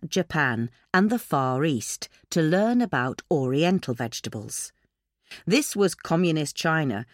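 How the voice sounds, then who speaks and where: Article narrator: woman, very animated intonation, scripted read, dry studio-like sound. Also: low voice, no room sound.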